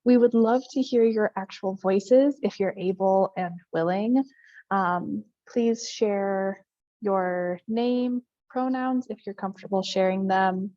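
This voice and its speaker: A young woman in her twenties co-hosts an online workshop and talks slowly and deliberately, in a welcoming way. The call audio is a little dull and dry. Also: mid-range voice, mellow, no room sound. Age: 20s. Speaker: workshop co-presenter.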